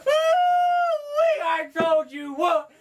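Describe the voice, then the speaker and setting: An actor playing a gleeful, cackling old backwoods coot in a western horror film, folksy, whooping and excitable. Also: male voice, extremely high pitch, drawn-out words, recorded from a distance, heavily acted. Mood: gleeful gloating.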